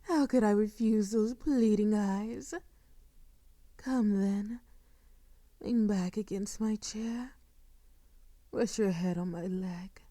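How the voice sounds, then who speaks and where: Woman acting a slow-speaking, seductive sadistic vampire mistress. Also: medium pitch, mellow, no room sound, natural voice. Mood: mock-tender, domineering. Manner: slow, commanding, mock-tender, often dropping to a breathy whisper. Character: sadistic, seductive female vampire, possessive and doting. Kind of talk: intimate audio roleplay acting.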